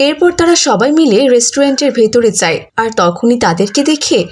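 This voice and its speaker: Lively, slightly husky female storyteller. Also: fairly high pitch, fairly quick. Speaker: narrator. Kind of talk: scripted cartoon story narration.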